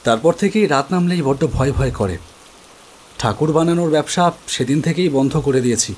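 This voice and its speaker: An actor playing an anxious idol-maker who recounts a horror story in a dramatic, expressive first-person narration. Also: male voice, on the high side, moderate pace, a little hiss or hum, lightly acted. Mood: haunted. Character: anxious male idol-maker recounting his ghostly encounter. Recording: studio voice over music bed.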